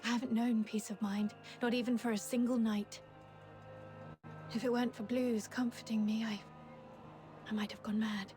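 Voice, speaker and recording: An actress playing an earnest, resolute young legion officer in a video game, speaking in a solemn, heartfelt way. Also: female voice, on the high side, little pitch movement, normal speed, long pauses, warm tone, faint music behind, lightly acted. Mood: pained, remorseful. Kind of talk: dramatic video game voice acting.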